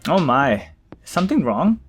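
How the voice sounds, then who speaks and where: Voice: mid-range voice, sing-song, a little slow, faint music behind. A performer voicing a friendly, effusive, slightly flustered priest in an audiobook drama. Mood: kindly.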